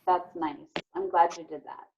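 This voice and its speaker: A woman's voice: lowish voice, fairly even intonation, moderate pace, a little hiss or hum. A woman teacher leading an online class discussion with children in an encouraging, affirming manner. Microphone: video call. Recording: dull, lo-fi video call sound.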